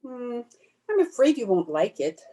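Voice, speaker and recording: A woman's voice: on the high side, sing-song, normal speed, noisy background. A woman playing a blunt, disapproving cousin in old-time radio drama, prim and cutting. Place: at home, recorded over video call.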